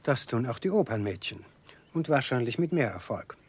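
A worldly abbé among aristocratic ladies. His husky, medium-pitched voice is suave and insinuating, dropping ironic gossip in a radio-drama style. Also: sing-song, moderate pace, dry recording. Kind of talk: German radio play acting.

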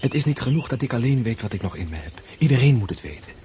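A man's voice: mid-range voice, very animated, fast talker, dark tone, slight background noise, close to an everyday voice. An actor playing a brooding prince in his thirties, musing reflectively in a radio drama. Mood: resolved. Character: brooding young Russian prince, weary yet reawakening.

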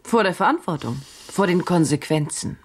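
Journalist woman, early thirties, radio drama: lowish husky voice, probing, earnest, uneasy. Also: animated, normal speed. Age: 30s. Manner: earnest, questioning, hesitant.

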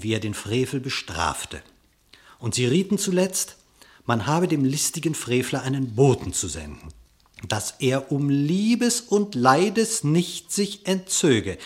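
A man narrating a verse animal fable in a radio play, with declamatory, theatrical storytelling. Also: medium pitch.

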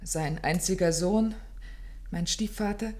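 A woman's voice: lowish voice, takes it slowly, a little mellow. An actress playing a distressed, genteel young woman in a radio play, speaking formally and dramatically. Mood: grave recounting. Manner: formal, dramatic, pleading phrasing.

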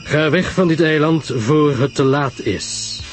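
A man's voice: a little high, unhurried, faint music behind, lightly acted. A man voicing a grave, curt detective in a mystery story, speaking sharply with French interjections. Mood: stern warning. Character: grave, curt male detective giving stern advice.

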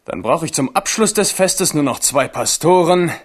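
Grieving young king, husky voice. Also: on the high side, animated, moderate pace, no echo, studio quality. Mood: bitter, subdued. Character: young king Henri, grieving, bitter, self-reproaching. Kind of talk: German radio drama acting.

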